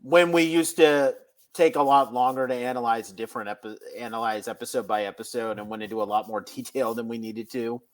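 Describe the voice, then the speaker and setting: Medium-pitched man hosting a casual podcast. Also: fairly even intonation, a little fast. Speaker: podcast host.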